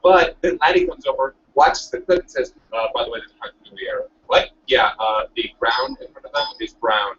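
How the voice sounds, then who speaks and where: A man's voice: medium pitch, wildly swooping pitch, brisk, few pauses, fairly bright tone. A man, a director and editor, chatting over a call in an eager, rambling way. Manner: eager, rambling, self-correcting. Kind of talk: casual chat over a call. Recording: distant lo-fi call audio with faint hiss.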